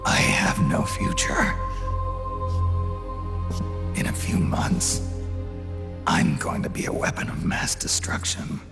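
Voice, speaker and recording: Brief: weary aging soldier, gravel-voiced and rumbling, unhurried and clipped. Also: male voice, loud music behind the voice, full character voice. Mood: grim, earnest. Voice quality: gravelly, very deep. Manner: terse, low and steady. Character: aging hardened male soldier, grim and weary. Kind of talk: video game cutscene acting.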